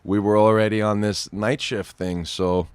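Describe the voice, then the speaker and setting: A man who acts, a guest on a talk show telling animated stories about his work in casual chat. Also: fairly low pitch, clear, resonant tone, no room sound. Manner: animated storyteller, quick asides. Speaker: actor, interview guest.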